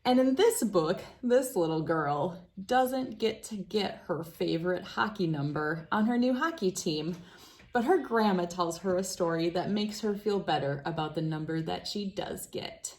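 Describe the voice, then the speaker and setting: A woman's voice: lowish voice, expressive, measured pace, a little mellow. A woman reading a children's storybook aloud as narrator. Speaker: children's book reader.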